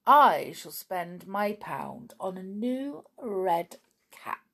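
A woman's voice: medium pitch, expressive, drawn-out words, a bit bright, full character voice. A woman voicing a bossy male goblin in a storybook, retorting in an emphatic, severe way. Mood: smug.

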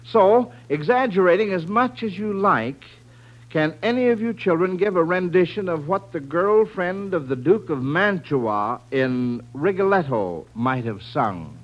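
A man's voice: sits high, lively intonation, measured pace, lo-fi sound. An American man hosting a 1940s radio quiz show in a folksy, encouraging way, on an old recording.

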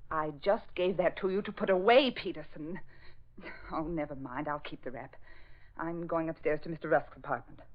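An actress playing an imperious, impatient lady spy in 1940s radio style, bossy and sharp-tongued. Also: low voice, moderate pace, close to an everyday voice. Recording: muffled old radio recording.

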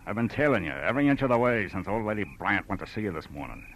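An actor playing a threatening, bitter small-time crook with an American accent and clipped slangy talk, in the style of 1940s radio crime drama. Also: male voice, on the low side, very animated, fast, fairly bright tone, low-quality recording. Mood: menacing. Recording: vintage radio recording, faint noise.

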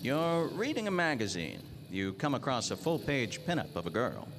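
A man's voice: mid-range voice, very animated, normal speed, noisy background. An actor playing a world-weary, cynical hard-boiled detective in the style of 1940s radio noir, with terse, wry tough-guy talk. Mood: businesslike. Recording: live radio drama with music underneath.

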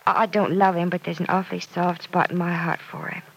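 An actress playing an earnest, self-doubting young woman in an old-time radio serial, confiding in a hesitant, soft-hearted way. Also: lowish voice, little pitch movement, brisk, gravelly, breathy edge, low-quality recording. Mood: confused, confiding. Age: young adult.

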